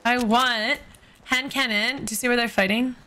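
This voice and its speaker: A woman's voice: fairly high pitch, steady tone, moderate pace, music in the background. A woman in her twenties chatting casually on a game livestream, recorded close on a streaming mic indoors. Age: 20s. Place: bedroom streaming setup indoors. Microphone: close boom-arm streaming mic with headset.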